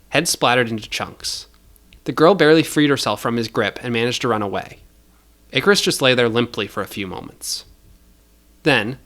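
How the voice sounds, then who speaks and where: A man's voice: medium pitch, fairly bright tone. Man narrating dramatic audio fiction.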